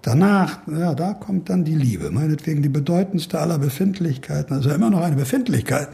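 Older sick professor in a radio play, gravelly, very animated, rambling and brooding. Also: male voice, fairly high pitch. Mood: brooding, philosophical. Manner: rambling, emphatic monologuing with musing pauses. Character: ailing older professor, brooding, cantankerous, philosophizing. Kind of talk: radio drama dialogue.

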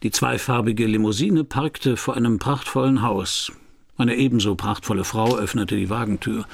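An actor playing a wry, world-weary private detective who narrates a crime radio play in a dry, laconic, gravelly voice. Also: male voice, lowish voice, moderate pace, slight background noise. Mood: wry narration.

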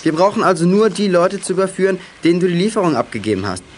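A male actor playing a decisive teenage gang leader in a children's audio drama, brisk and matter-of-fact. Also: high voice, animated, a little hiss or hum. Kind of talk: 1980s German children's audio drama acting.

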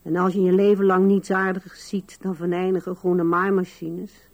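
An actress in a 1970s radio play voicing an old widow who muses hesitantly and wistfully, with a gravelly, husky voice. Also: female voice, lowish voice, flat delivery, normal speed. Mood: fond, amused. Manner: hesitant musing with sighs and murmurs. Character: musing old widow Anna, wistful, fond, wryly amused. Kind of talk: 1970s Dutch-language radio play acting.

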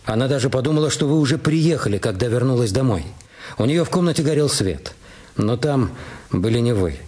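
A man narrating and playing the inspector in a radio detective play, speaking in a calm, dry, measured way. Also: mid-range voice. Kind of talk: scripted radio drama narration. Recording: studio radio play with music bed.

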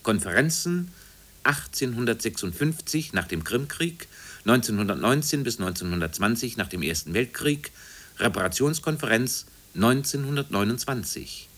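A man's voice: medium pitch, lively intonation, moderate pace, fairly bright tone. A man playing a formal announcer in a 1950s radio drama, reciting facts in clipped, enumerating phrases. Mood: matter-of-fact. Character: factual announcer reciting city statistics like an encyclopedia.

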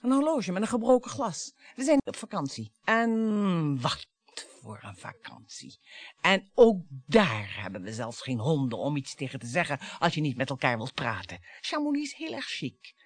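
A woman's voice: sits low, very animated, normal speed, dry recording. An actress in a radio play playing a bitter, taunting middle-aged wife and mother, sarcastic and dramatic. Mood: bitter reminiscing. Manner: sarcastic, dramatic, swinging between hushed and biting.

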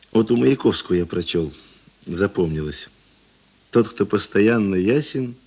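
A man acting a middle-aged, thoughtful and kind police investigator in a Russian radio play, husky and measured. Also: lowish voice, expressive, darkish tone, full character voice. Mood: earnest, thoughtful. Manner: measured, self-deprecating, reflective.

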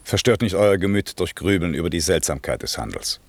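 A very low-voiced, aging duke and sorcerer, stately and authoritative. He delivers verse with measured dignity in classic radio drama style, recorded dry and close. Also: big pitch swings, no echo, full character voice. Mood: commanding, reassuring. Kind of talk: classic German radio play acting, verse.

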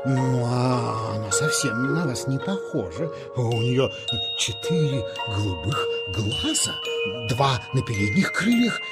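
An actress playing a cheerful, flustered male grasshopper in a children's audio play, husky and hesitant, full of exclamations. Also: rumbling low, sing-song, slow pace, hardly any pauses, over loud music, exaggerated voice. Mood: excited, admiring. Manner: hesitant, exclaiming, chuckling stammer.

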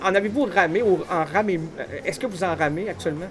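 Quebec radio host, man in his fifties, fairly high voice, eager questions, crowd noise behind. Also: fast. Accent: Quebec French. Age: 50s. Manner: eager, stumbling questions with repeated words. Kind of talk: radio interview.

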